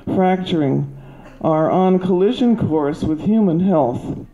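An older woman with a soft voice giving an earnest, halting public comment at a podium into a handheld mic. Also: lowish voice, highs cut off. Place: meeting hall at a podium. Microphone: handheld microphone.

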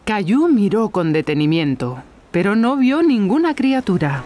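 A woman narrating a children's cartoon as a gentle storyteller for young children. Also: mid-range voice, sing-song, moderate pace, natural voice. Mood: gentle storytelling.

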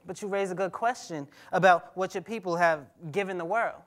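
A high-pitched, proud and bitter teenage boy of 1950s America in a stage play. He speaks emphatically and stammers now and then. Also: a little fast, fairly bright tone. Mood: earnest. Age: young adult. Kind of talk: staged dramatic reading. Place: theatre stage before a seated audience. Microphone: clip-on lapel mic.

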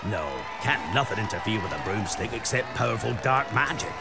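An actor playing a huge, gruff, kindly gamekeeper in a video game, with a blunt, bumbling rustic manner. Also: male voice, lowish voice, expressive, a little fast, over a noisy crowd. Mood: alarmed, puzzled. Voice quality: gruff, gravelly. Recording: loud music behind game dialogue.

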